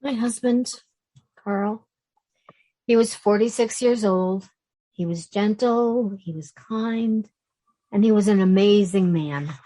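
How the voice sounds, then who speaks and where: An actress playing a mature, conflicted widow in a stage play reading, plain-spoken and emotional. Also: female voice, medium pitch, takes it slowly, long pauses, mellow, low-quality recording. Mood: fond remembrance. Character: Meryl, mature widow, conflicted between fondness and anger.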